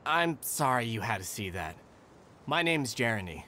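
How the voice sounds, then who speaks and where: A man's voice: medium pitch, big pitch swings, normal speed, bright, forceful tone. An actor playing an earnest, testy young male caretaker in a video game, fussy and articulate, with faint noise behind. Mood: earnest, polite.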